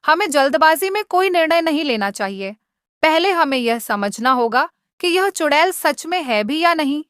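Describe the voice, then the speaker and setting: A wise village priest from a storybook read by a woman, courteous and measured. The voice sits fairly high, with a dry, close sound. He is calm and considered in how he speaks. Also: no room sound. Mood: cautious. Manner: measured, polite.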